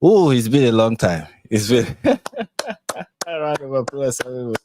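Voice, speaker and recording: A man's voice: medium pitch, lively intonation, moderate pace, mellow, slight background noise. A man with a Nigerian accent, hosting a casual live stream and laughing often as he chats. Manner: laughs often, chatty and playful. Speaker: host.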